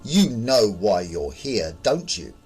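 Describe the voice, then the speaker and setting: A man's voice: on the low side, very animated, slow pace, darkish tone, breathy edge, faint music behind, heavily acted. A man voicing a cold young female counter clerk in a horror story, bland and condescending as she states doom. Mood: cold, blunt.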